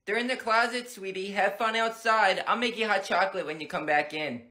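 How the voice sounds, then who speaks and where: A man voicing a soft-spoken, cooing mother with a sneaky streak. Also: sits high, a little fast. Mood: sweet sneaky. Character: sweet sneaky candy-stealing mom.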